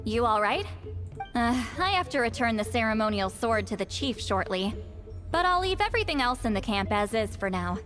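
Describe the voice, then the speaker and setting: A woman's voice: a little high, very animated, moderate pace, a bit bright. An actress playing a blunt, proud video game character who is easily flustered, with music behind her. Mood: flustered surprise. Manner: blunt, casual, hesitant when flustered.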